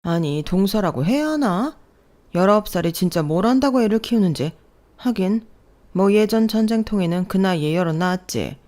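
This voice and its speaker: A woman's voice: mid-range voice, animated, moderate pace, warm tone, close to an everyday voice. A woman voicing haughty older sisters-in-law who mock and belittle the young bride. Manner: mocking, condescending, needling.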